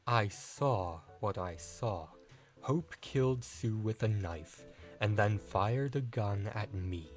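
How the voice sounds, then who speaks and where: A man's voice: on the low side, lively intonation, slow pace, faint music behind. A man playing a smug, condescending trial witness in an audio drama, with a mocking, theatrical manner. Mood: defensive. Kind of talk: amateur audio drama acting.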